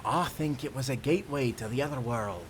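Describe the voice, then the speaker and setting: A man's voice: mid-range voice, a little fast, a little hiss or hum, close to an everyday voice. A man playing a cheerful, dreamy young sailor with old-time nautical speech in an audio roleplay. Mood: cheerful, wondering. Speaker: sailor guide and companion.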